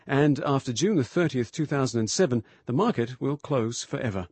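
Husky-voiced man presenting radio news. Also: mid-range voice, expressive, a little fast, darkish tone, no echo. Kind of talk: scripted news links.

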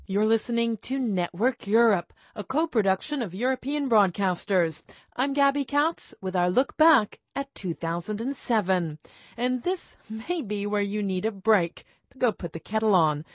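A woman radio presenter with brisk, playful scripted links between items. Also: mid-range voice, no echo.

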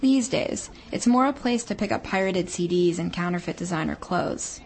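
A woman radio reporter reading a scripted feature report in a measured, descriptive way. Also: lowish voice, animated, darkish tone. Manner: measured, descriptive storytelling delivery.

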